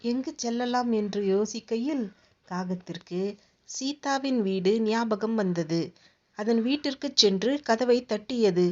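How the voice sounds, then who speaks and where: A woman's voice: a little high, measured pace, mellow. A woman telling a children's story as its narrator. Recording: dull lo-fi audio.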